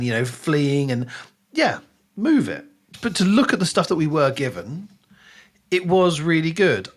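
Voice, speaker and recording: A man co-hosting a book podcast in casual discussion, often voicing imagined reactions as he talks. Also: a little high.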